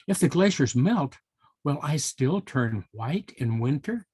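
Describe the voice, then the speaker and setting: A man voicing a worried, chirpy female mountain bird in a children's storybook, exclamatory and drawn-out. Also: fairly high pitch, lively intonation, darkish tone, husky, no room sound, clean studio sound, heavily acted. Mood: startled. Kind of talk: children's storybook read-aloud.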